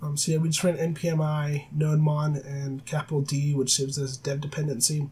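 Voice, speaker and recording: A man with a cold-roughened raspy voice, teaching a coding tutorial over a screen recording with many filler sounds and self-corrections. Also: a little high, completely flat delivery, brisk, darkish tone. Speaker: programming tutor. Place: at a desk, voice-over of screen capture. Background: faint keyboard typing.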